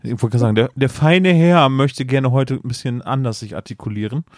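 A man's voice: mid-range voice, a little fast, no room sound. Dry-sounding young male podcast host. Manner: chatty, laughs often, leads the talk. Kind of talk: casual podcast chat.

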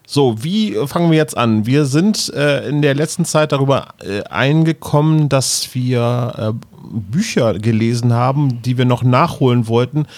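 Guy hosting a podcast, casual talk, mid pitched voice, really dry sound. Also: a little slow, darkish tone, dry recording. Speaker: podcast host. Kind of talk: casual podcast chat and announcements.

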